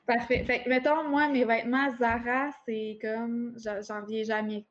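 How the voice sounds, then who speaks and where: A woman's voice: a little high, level tone, normal speed, highs cut off. A woman with a Quebec French accent, a marketing director chatting as a podcast guest. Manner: chatty, frequent fillers and restarts. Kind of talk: casual interview chat.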